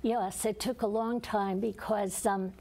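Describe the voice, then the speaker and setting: A woman's voice: mid-range voice, fairly even intonation, measured pace, darkish tone. A middle-aged woman from Connecticut, a geologist and author, answering interview questions earnestly, with many fillers and restarts. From Connecticut, USA.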